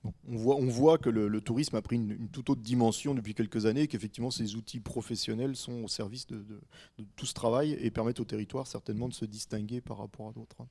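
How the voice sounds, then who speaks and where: A man hosting a panel discussion, moderating in hesitant, measured phrases and handing over to guests. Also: mid-range voice, steady tone, creaky, mellow. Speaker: host.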